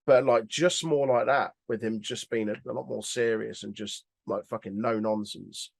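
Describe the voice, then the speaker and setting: A man's voice: lowish voice, steady tone, moderate pace, vocal fry, no echo. A man co-hosting a podcast, giving rambling, informal, sweary opinions in casual chat. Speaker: podcast co-host.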